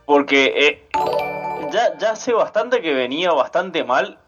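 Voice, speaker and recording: A man's voice: a little high, lively intonation, takes it slowly, a bit bright, breathy edge. A man co-hosting a casual podcast, dropping dry, sarcastic remarks. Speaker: podcast co-host. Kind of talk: casual chat. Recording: dull lo-fi sound, faint music.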